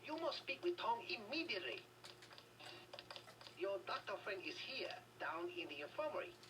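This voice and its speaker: An actress playing a solemn aide in a secret Hong Kong compound in a video game, on a noisy distant capture. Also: female voice, medium pitch, very animated, moderate pace, natural voice.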